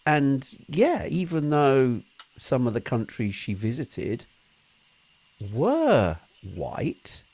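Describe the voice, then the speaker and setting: Slow, lively-pitched man, dry sound. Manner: short interjections and questions. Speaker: talk show co-host. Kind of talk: casual chat.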